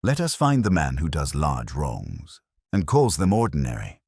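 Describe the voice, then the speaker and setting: A man voicing a shrewd, measured police inspector with a deliberate, dryly ironic manner in a detective story. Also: extremely low pitch, wildly swooping pitch, darkish tone, slightly rough, no room sound, exaggerated voice. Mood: stern, dry. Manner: deliberate, dry, aphoristic.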